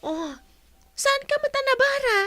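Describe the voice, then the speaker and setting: A woman playing a chatty, teasing friend in an Ilocano radio drama, expressive and with a Filipino accent. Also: very high-pitched, a little slow, faint music behind, full character voice. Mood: concerned.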